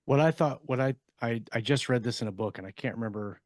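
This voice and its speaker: A man's voice: medium pitch, quick pace, darkish tone, no echo, clean studio sound. A man in casual discussion as a podcast co-host, explaining in a rambling, hedging way.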